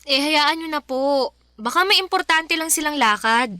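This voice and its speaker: An actress playing a dutiful teenage daughter in a radio drama, polite and placating, with a Filipino accent. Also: female voice, high-pitched, lively intonation, moderate pace, pushed and bright, no echo, studio quality. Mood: concerned, placating.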